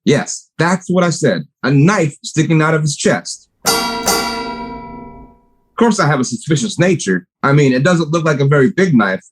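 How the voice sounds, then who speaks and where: A man's voice: on the high side, big pitch swings, unhurried, slightly rough, faint music behind, exaggerated voice. A man playing a plainspoken, matter-of-fact milkman and part-time partner in a radio play. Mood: deadpan.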